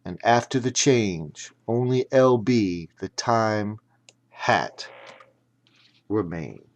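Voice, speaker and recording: Slow, dramatic male storyteller. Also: fairly low pitch, expressive. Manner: deliberate, emphatic, broken phrasing. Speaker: audiobook narrator.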